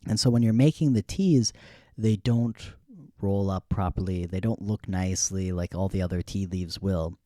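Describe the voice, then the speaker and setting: A young man hosting a podcast, telling a story in a relaxed, rambling way with frequent laughs. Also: low-pitched, normal speed, no room sound, studio quality. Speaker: podcast host. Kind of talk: casual storytelling.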